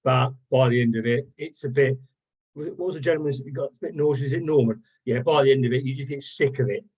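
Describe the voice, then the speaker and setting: A man's voice: mid-range voice, fast, darkish tone, husky. A man taking part in a webinar, answering questions in a plain, matter-of-fact way. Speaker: webinar participant. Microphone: video call. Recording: muffled video call audio.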